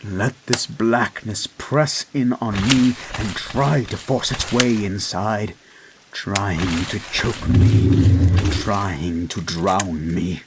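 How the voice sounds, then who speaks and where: A man playing a creepy, obsessive hypnotist therapist in a dark guided-meditation roleplay, speaking in a hushed, deliberate, poetic way. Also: on the low side, very noisy. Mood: intense, defiant. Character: creepy, obsessive male hypnotist therapist, tenderly menacing, unshakeable.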